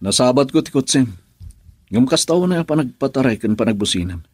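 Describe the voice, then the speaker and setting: A man's voice: medium pitch, animated, a little fast, a little mellow, dry recording, clean studio sound, close to an everyday voice. A man acting a defensive, troubled husband in an emotive Ilocano radio drama. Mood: defensive, pleading.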